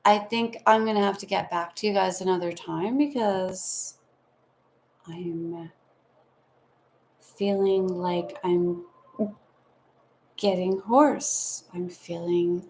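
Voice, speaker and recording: Slow, gravelly-voiced woman reading tarot. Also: mid-range voice, steady tone, darkish tone, slight background noise, low-quality recording. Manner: soothing, meandering, spiritual talk with affectionate asides. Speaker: tarot reader. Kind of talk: live-streamed tarot reading, casual.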